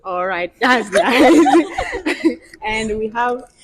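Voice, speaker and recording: A woman's voice: a little high, big pitch swings, drawn-out words, slight background noise. A woman from Nigeria hosting a casual discussion, talking with many hesitant fillers. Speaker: host.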